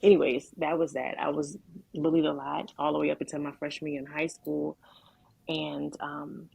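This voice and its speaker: Deep, gravelly-voiced woman sharing her story. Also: level tone, darkish tone, dry recording, rough recording. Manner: candid and reflective, with halting restarts and frequent "um" and "like". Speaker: guest sharing her testimony. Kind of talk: candid conversational testimony.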